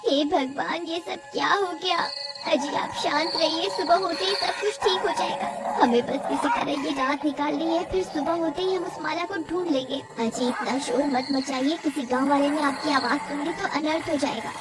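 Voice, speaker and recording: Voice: high-pitched, normal speed, loud music behind the voice, rough recording. A performer voicing an anxious, devoted village wife in a cartoon story, pleading and worried.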